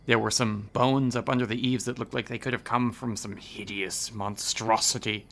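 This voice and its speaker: Radio horror: obsessive, argumentative scholar of eerie legends, creaky and intense. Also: male voice, fairly low pitch, fairly even intonation, normal speed, a bit bright. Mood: ominous, insistent. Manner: dramatic, argumentative, insistent. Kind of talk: radio horror drama acting.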